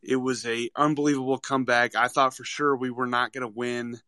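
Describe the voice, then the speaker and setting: A man in his thirties with a bright, crisp voice chats casually as a sports caller. The sound is close and dry. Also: mid-range voice, flat delivery, a little fast, no room sound. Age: 30s.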